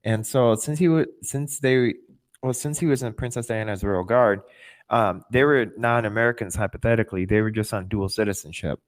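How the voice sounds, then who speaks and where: A man's voice: lowish voice, moderate pace. A man in his twenties recounting his life story as an interview guest, often repeating and rephrasing himself as he explains. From born in Russia, adopted as a child. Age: 20s. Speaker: podcast guest.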